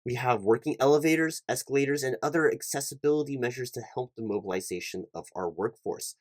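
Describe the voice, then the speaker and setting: A man playing an earnest, slightly flustered recruiting manager in a one-sided job interview roleplay, speaking in halting, stammering corporate talk. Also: mid-range voice, no echo.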